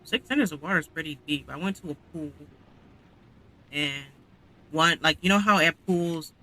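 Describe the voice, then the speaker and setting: A man's voice: on the high side, animated, moderate pace, bright, forceful tone, a little hiss or hum, poor audio. A young man, a game streamer, chatting casually. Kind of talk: casual chat.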